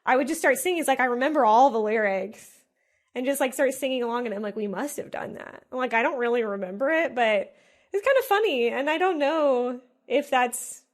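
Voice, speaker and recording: Animated, higher-voiced woman musing aloud. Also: a little fast. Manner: animated, musing, heavy use of "like". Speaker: podcast co-host. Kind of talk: casual chat.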